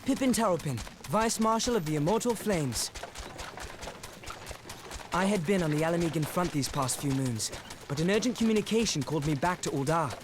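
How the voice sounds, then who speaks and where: An actor playing a composed young military officer in a video game, formal and authoritative. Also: male voice, on the high side, expressive, a little fast, noisy background, natural voice. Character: brisk, composed high-voiced young male military officer.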